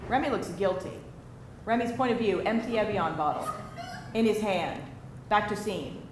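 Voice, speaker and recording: A woman's voice: mid-range voice, moderate pace. A woman narrating stage directions from a script at a live table read. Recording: echoey room with faint hiss.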